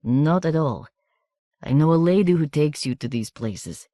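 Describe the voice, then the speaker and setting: A woman's voice: low-pitched, lively intonation, dark tone, dry recording, studio quality. A woman doing a sly thin boy. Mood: knowing. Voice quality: sibilant, harsh accent. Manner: halting, oddly formal phrasing. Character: thin foreign teenage boy, sly and knowing. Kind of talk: audiobook character voice.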